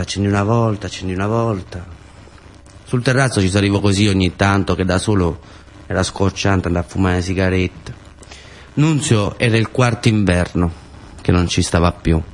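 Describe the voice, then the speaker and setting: Male monologue actor, low voice, Pugliese accent, colloquial radio-theatre storytelling. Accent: southern Italian, Pugliese. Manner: colloquial, repetitive phrasing with short fragments. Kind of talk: dramatic first-person radio theatre monologue.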